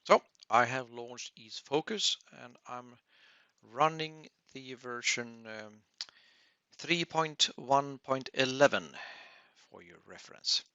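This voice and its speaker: A man presenting a technical webinar tutorial as an instructor, explaining step by step in a halting way. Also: medium pitch, pushed and bright, clear, resonant tone, no room sound, highs cut off. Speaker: audio engineering instructor.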